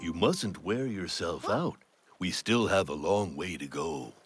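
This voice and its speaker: Wise old cartoon mule, deep slow voice, polite and careful, music playing behind. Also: male voice, animated, slightly rough, exaggerated voice. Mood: calm advice. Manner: measured, polite, cautioning. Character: wise, patient, weary old male mule. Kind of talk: children's cartoon acting.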